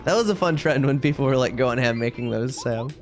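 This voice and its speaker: A man's voice: mid-range voice, lively intonation, brisk, soft background music. A man livestreaming a video game, chatting casually with viewers and commenting on play in a wry, ranting way.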